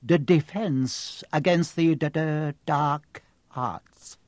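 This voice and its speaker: Brief: male narrator playing a timid young teacher, heavy stammer, higher and shaky. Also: slow, no echo. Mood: nervous.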